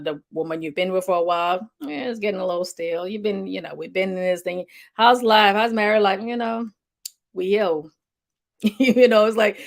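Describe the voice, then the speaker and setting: A woman's voice: lowish voice, vocal fry. A middle-aged woman hosting a health show, talking informally and rambling, with frequent "okay" and "you know" asides. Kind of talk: informal health talk to an audience.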